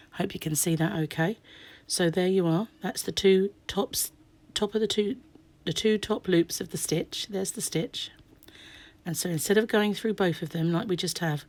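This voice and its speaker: Brief: low-pitched woman, croaky sore throat, chatty crochet teacher, drops to half-whispers while stitching. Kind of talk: hands-on craft tutorial.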